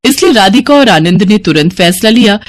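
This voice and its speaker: A woman's voice: medium pitch, lively intonation, moderate pace, no room sound. A woman storyteller delivering scripted narration for a moral bedtime story.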